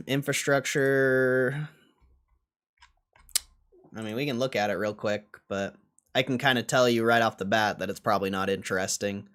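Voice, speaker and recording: A man's voice: medium pitch, fairly bright tone, clear, resonant tone. A man hosting a livestream in a casual, blunt and dismissive way, swearing now and then as he answers chat. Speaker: livestream host.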